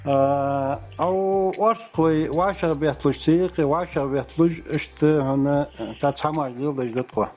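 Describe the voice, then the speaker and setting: A man's voice: a little high, a little slow, hardly any pauses. A man speaking natively, talking informally and argumentatively as a phone caller asking questions. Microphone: telephone. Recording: tinny phone line, music behind. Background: music.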